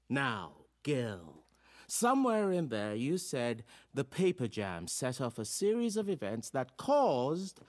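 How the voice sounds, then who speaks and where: An actor playing a playful, provocative male philosophy professor in an audio drama, lecturing theatrically with rhetorical flourishes. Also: mid-range voice. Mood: probing, rhetorical. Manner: theatrical, rhetorical, addresses a class like a host.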